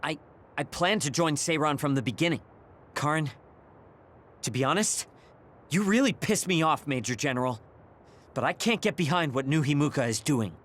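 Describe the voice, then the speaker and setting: A man's voice: on the high side, lively intonation, moderate pace, long pauses, fairly bright tone, a little hiss or hum. A man playing a prickly, proud young soldier in an English game dub, blunt and indignant. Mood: irritated. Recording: faint music behind the voice.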